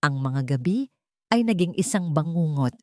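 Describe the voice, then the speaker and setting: A woman's voice: low voice, animated, normal speed, dry recording, clean studio sound. A woman narrating a scripted dramatic audio story.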